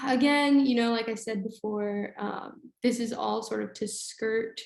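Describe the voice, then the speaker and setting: A woman with a slightly hoarse, unwell voice presents slides on a webinar, explaining in a halting way with frequent pauses. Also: a little high. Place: indoor room on an online webinar. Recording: roomy, echoey webinar sound.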